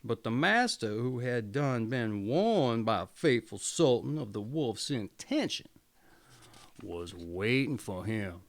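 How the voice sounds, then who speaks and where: A man reading a fairy tale aloud as a storyteller, expressive and theatrical in his delivery. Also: medium pitch, slow.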